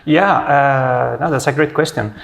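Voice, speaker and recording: A man's voice: medium pitch, unhurried, vocal fry, a bit bright. Spanish-accented man explaining his research. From Spain. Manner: friendly, explanatory, with frequent "uh" hesitations. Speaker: physics professor, interview guest. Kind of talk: academic interview conversation.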